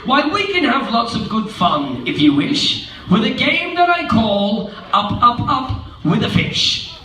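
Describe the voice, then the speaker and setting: A man's voice: very high-pitched, big pitch swings, measured pace, sharp tone, pitched above the performer's own voice, exaggerated voice. A man voicing a showy, boastful male cat that loves tricks, in a bouncy rhyming sing-song. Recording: roomy, distant, noisy live room.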